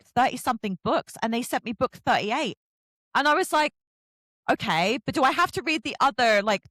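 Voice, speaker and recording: A woman's voice: on the high side, a bit bright, no room sound. A woman hosting a casual interview about books, chatting in an effusive, gushing way. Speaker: host, book influencer and reviewer.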